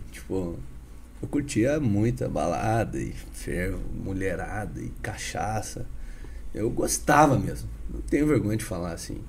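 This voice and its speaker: A Brazilian man from the interior of Paraná, telling his life story as a podcast guest in a lively, chatty way, peppered with "cara" and "né". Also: medium pitch. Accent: Paraná interior Brazilian Portuguese. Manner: animated, chatty, frequent "cara" and "né".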